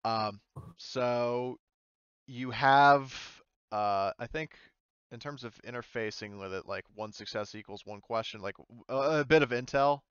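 A man's voice: mid-range voice, measured pace, fairly bright tone, clean tone, dry recording, muffled recording. A man running a tabletop game as game master, narrating off the cuff in an informal, explanatory way and swearing casually.

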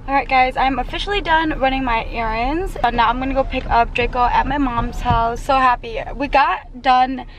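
A woman's voice: high-pitched, moderate pace, few pauses, low-quality recording. A young woman chatting casually and informally to camera in a slangy vlog style, recorded at arm's length. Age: young adult. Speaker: vlogger and mother. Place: small rooms at home and inside a car. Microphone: camera held at arm's length. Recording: clear background noise, car hum.

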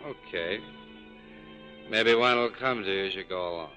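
Tough, world-weary American saloon keeper, low but hard-edged, 1940s radio play over faint music. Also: male voice, moderate pace, bright, forceful tone. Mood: bitter. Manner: sardonic, clipped, world-weary. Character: bitter, wounded American cafe owner, sardonic and tough. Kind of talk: 1940s radio drama acting.

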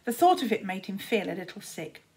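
A woman's voice: medium pitch, very animated, fairly quick, darkish tone. A woman, narrating a children's story expressively, like a teacher reading aloud.

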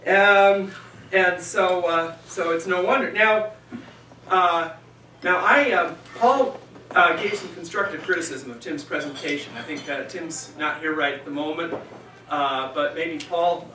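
A man's voice: fairly high pitch, sharp tone, far from the mic, slight background noise. A man moderating a meeting and giving an off-the-cuff rebuttal speech in a restaurant, rambling and chatty with lots of fillers and asides. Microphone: handheld mic set down, voice carrying from a distance. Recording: echoey restaurant room, picked up from afar.

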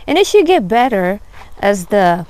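A woman gives an unhurried, admiring tour of her backyard garden, filming on a handheld phone outdoors. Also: mid-range voice, very animated, darkish tone, rough recording. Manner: unhurried, admiring, many fillers. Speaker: gardener vlogger. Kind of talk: casual garden tour narration.

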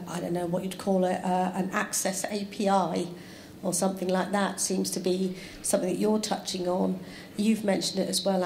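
A woman's voice: lowish voice, darkish tone, a little hiss or hum. A woman moderating a conference panel with a handheld mic, steadily guiding the questions. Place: large conference room with audience. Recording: echoey hall, faint ambience.

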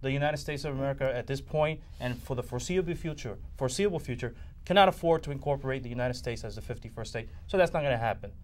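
A man in his thirties argues his point as a guest on a televised panel. His voice sits at a middle pitch, and he talks emphatically, often restarting and repeating words. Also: quick pace. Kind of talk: panel discussion. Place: TV studio.

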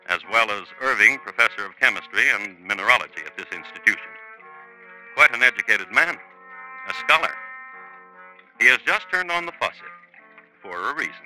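A man hosting and narrating a 1950s radio true crime show in a deliberate, formal, dramatic storytelling manner. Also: lowish voice, pushed and bright, low-quality recording. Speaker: host and narrator. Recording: vintage 1950s radio recording.

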